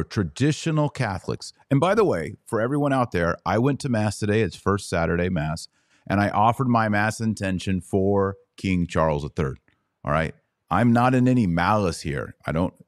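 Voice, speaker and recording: Low-voiced man hosting a podcast. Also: dry recording, studio quality. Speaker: podcast host. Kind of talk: conversational religious commentary.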